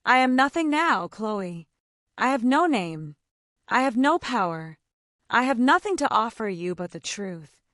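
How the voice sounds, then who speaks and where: A woman voicing a remorseful young billionaire heir, speaking in a soft, earnest, pleading way. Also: fairly high pitch, big pitch swings, a little slow, dry recording, clean studio sound.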